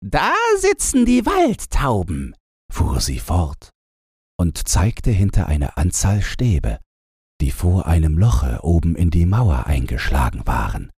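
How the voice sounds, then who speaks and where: A man's voice: rumbling low, expressive, takes it slowly, warm tone, breathy edge, dry recording, clean studio sound. A man reading a scripted fairy tale as an audiobook narrator, in a bedtime storytelling style.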